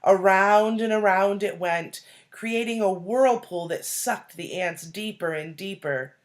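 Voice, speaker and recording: A woman reading a children's storybook aloud like a teacher, deliberately, pausing to explain and put questions to young listeners. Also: medium pitch. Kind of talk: expressive storybook read-aloud with asides and questions.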